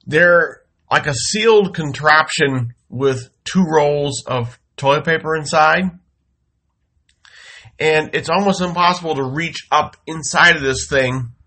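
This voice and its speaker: Slow, wry man grumbling through a cold. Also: a little high. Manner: slow, wry deadpan storytelling with exasperated outbursts. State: congested from a head cold. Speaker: podcast host. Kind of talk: comic monologue. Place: home studio.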